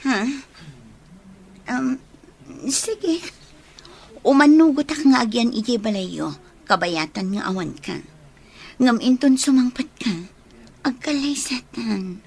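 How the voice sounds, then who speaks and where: An actress with an Ilocano accent playing a hesitant but obliging young sweetheart in a radio drama. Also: female voice, on the high side, animated, slow pace, pauses often, husky, full character voice. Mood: surprised, hesitant.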